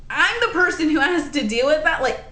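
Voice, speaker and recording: A woman in her late twenties chatting in a rambling, animated way for her vlog, full of asides and "like.". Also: high-pitched, a little fast, roomy sound. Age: 20s. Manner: rambling, animated, lots of "like" and "honey". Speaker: vlogger.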